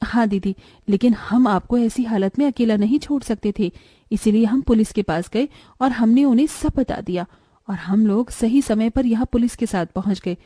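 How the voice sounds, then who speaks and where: Woman acting young stepsister, meek, earnest, high mellow voice, close dry sound, cartoon drama. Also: normal speed, no echo, natural voice. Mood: gentle, earnest. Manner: earnest, pleading, respectful. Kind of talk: Hindi cartoon moral story acting.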